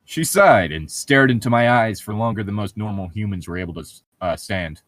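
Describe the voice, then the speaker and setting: Low-voiced man reading aloud, sarcastic and irreverent. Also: animated, moderate pace, fairly bright tone, no room sound, clean studio sound. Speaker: co-host reading a novel aloud with commentary. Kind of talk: reading aloud with casual joking commentary.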